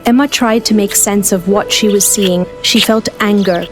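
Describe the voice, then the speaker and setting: A woman's voice: medium pitch, fairly even intonation, moderate pace, music in the background. A woman narrator giving dramatic scripted storytelling.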